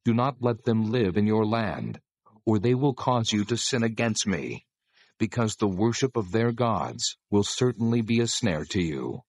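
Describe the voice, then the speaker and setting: A commanding divine lawgiver, low voice, solemn n measured, close dry audio bible drama. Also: male voice, expressive, no room sound. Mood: stern, promising. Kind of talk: dramatized scripture reading.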